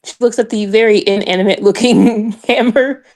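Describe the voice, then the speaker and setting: A woman's voice: fairly high pitch, steady tone, normal speed, darkish tone, dry recording. Casual, giggly woman playing a tabletop game. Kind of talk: casual roleplay narration and chat.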